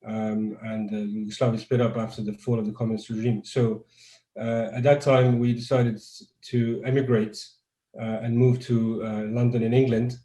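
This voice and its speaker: A man from Croatia talks through a video call in a level, mellow voice that hardly rises or falls. He is a guest researcher who answers thoughtfully and often restarts his phrases. The sound carries a noticeable room echo. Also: mid-range voice, little pitch movement, normal speed. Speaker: guest neuroscientist. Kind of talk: conversational interview answers.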